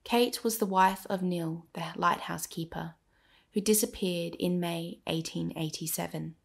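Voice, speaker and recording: A woman's voice: fairly low pitch, a little slow, creaky, breathy edge. A woman narrating a scripted history podcast, speaking directly to the listener in a dramatic storytelling manner.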